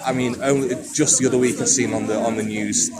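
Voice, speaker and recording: A man's voice: mid-range voice, fairly even intonation, quick pace, a loud crowd. A man interviewing people outdoors, questioning and challenging in a friendly way, repeating his words. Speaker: street interviewer with camera. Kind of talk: casual street debate. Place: outdoors in public.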